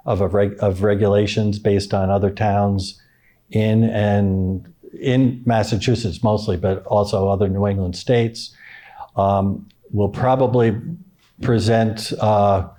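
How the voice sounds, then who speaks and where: A man working for the town, answering a panel question haltingly with many ums and uhs. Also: on the low side, warm tone. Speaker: town stormwater official. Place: public forum room.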